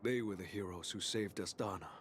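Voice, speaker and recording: Serious lowish guy telling old hero history man acting, game cutscene, slight background noise. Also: fairly quick, natural voice. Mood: solemn. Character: solemn man recounting history of fallen heroes.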